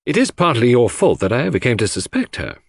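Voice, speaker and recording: A man as an earnest, puzzled sidekick, lively pitch. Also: fast talker, gravelly, slightly rough, dry recording, clean studio sound, exaggerated voice. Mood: mildly reproachful. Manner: eager, questioning. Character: earnest, puzzled gentleman, the detective's trusting friend.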